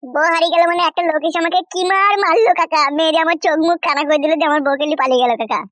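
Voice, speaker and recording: A man's voice: very high voice, racing, fairly bright tone, dry recording. A man voicing a hot-headed, gullible village husband in a comic cartoon, blustering and whining in a rural West Bengal accent. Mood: plaintive. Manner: blustering, whining, mispronounces words.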